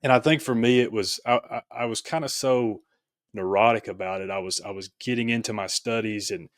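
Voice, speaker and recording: A man telling his personal story reflectively as an interview guest, in a halting, thoughtful way, often correcting himself. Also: fairly low pitch, no echo, studio quality. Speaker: podcast guest, author.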